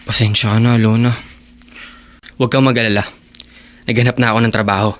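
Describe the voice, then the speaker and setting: A Filipino actor playing a devoted, proud teenage runaway husband in a radio drama, speaking earnestly. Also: male voice, on the low side, level tone, a little fast, slight background noise, lightly acted. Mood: tender, apologetic. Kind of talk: Tagalog radio drama acting.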